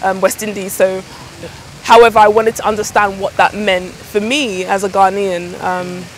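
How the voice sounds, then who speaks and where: A woman's voice: medium pitch, level tone, moderate pace, a little hiss or hum, low-quality recording. A middle-aged woman, speaking casually as an interviewee in a conversation. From London, UK. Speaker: interviewee, fashion designer.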